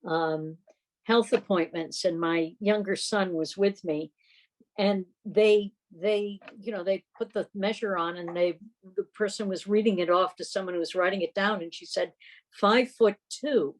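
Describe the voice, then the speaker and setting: A middle-aged woman chairing a club meeting on a video call, talking casually in a halting, rambling way. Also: medium pitch, fairly even intonation, poor audio.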